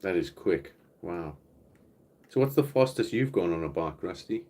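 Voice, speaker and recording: A South African man with a very low voice hosts a relaxed livestream chat from home. He rambles easily between topics and reads out comments from the viewers. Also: moderate pace. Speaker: livestream host. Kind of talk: casual live Q&A chat. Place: home, indoors.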